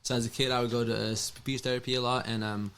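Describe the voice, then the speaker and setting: A man's voice: medium pitch, little pitch movement, fast talker, a bit bright, smooth. A man in his twenties with a stutter, speaking hesitantly with many fillers and restarts. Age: 20s. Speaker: musician, interview guest.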